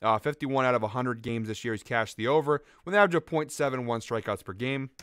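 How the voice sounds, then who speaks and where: Fast-talking, slightly raspy betting-picks host. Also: male voice, mid-range voice, a bit bright, no echo. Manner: quick, upbeat, rattles off stats with fillers. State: slightly raspy from a late night out. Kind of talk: fast casual betting-tips monologue.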